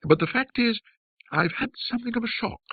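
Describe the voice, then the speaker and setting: A man voicing a nervous, apologetic young gentleman in a ghost story, hesitant, polite and confiding. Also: extremely high pitch, animated, a little fast, slightly rough, no echo, narrow, tinny audio, voice pushed up, exaggerated voice.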